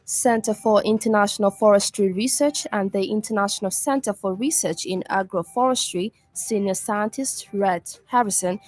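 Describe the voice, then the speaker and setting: A woman news reader with a Zambian accent delivers a scripted radio bulletin. Her voice sits at a middle pitch, and the studio sound is close and dry. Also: moderate pace, hardly any pauses, no echo, soft background music.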